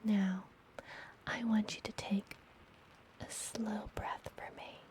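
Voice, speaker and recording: A woman playing a friendly apothecary witch in a fantasy ASMR roleplay, speaking gently in a breathy, soothing whisper. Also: medium pitch, flat delivery, close to an everyday voice, poor audio. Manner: slow, gentle, soothing delivery. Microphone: close ASMR microphone.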